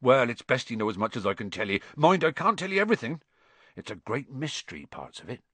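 A man voicing a gruff, kindhearted, hot-tempered giant with a folksy dialect and halting speech in an audiobook story. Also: mid-range voice, sing-song, sharp tone, dry recording, heavily acted. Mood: worried.

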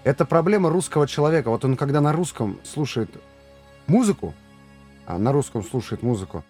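A man who plays keyboards in a band, telling casual, lively stories on a podcast and mimicking other people as he goes. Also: mid-range voice, a little fast, breathy edge, soft background music.

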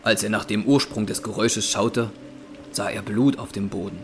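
A male narrator reads a scripted horror audio drama. His voice is on the low side, with faint music underneath. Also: normal speed.

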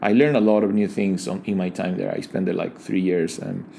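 Low-voiced man. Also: fairly even intonation, creaky, dark tone, a little hiss or hum. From Ecuador. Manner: thoughtful, halting, many fillers and restarts. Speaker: guest, digital design leader. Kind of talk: casual interview conversation.

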